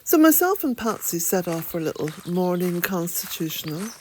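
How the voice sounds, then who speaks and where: An Irish woman narrating a gentle garden vlog in a soft, reflective way, sometimes dropping almost to a whisper. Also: fairly low pitch, very animated, normal speed, a little hiss or hum. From Ireland. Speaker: vlogger. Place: outdoors in a woodland garden, birds nearby.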